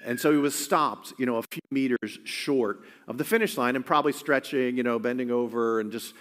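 A man's voice: mid-range voice, fairly quick, fairly bright tone, in a large hall. A man preaching a sermon in an earnest, conversational way, often restarting his phrases. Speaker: pastor.

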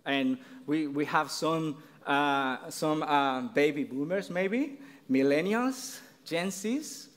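Slow, earnest Mexican-accented preacher. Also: male voice, fairly high pitch, expressive. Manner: earnest, halting, many restarts. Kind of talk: sermon. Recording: long reverb in a large hall.

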